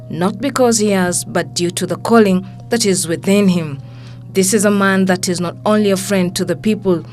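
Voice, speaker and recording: A woman giving measured, formal, scripted documentary narration. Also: lowish voice, darkish tone.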